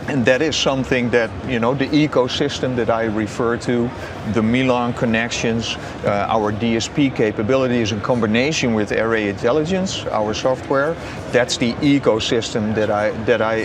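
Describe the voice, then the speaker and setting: A man in his forties giving measured interview answers as a company representative, often pausing and repeating words. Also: lowish voice. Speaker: company business strategist being interviewed. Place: open booth in a large busy exhibition hall. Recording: noisy trade show hall ambience.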